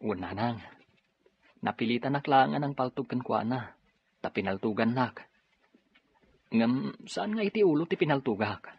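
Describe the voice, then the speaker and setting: A man with an Ilocano accent acting a dutiful young policeman and son in an audio drama, with a husky voice. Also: mid-range voice, expressive, a little slow, long pauses, exaggerated voice. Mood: earnest. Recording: dull lo-fi drama recording.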